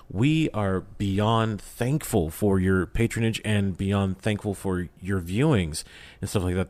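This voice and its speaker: A man speaking as a voice actor guest on a podcast, casual and conversational, earnest and emphatic in how he makes his points. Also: lowish voice. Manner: emphatic, earnest, acts out imagined quotes. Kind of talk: casual interview chat.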